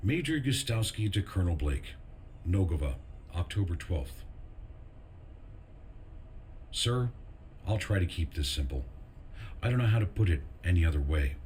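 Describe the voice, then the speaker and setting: An actor playing a weary, grieving army major who solemnly delivers a measured farewell letter in a military video game. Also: male voice, sits low, sing-song, darkish tone, slight background noise. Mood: solemn, formal. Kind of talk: dramatic scripted monologue in a military video game. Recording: music under the voice.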